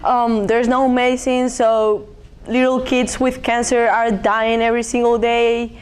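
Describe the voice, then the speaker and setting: A woman's voice: fairly high pitch, little pitch movement, unhurried, smooth. A teenage girl from Venezuela answering interview questions casually with fillers. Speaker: student interviewee.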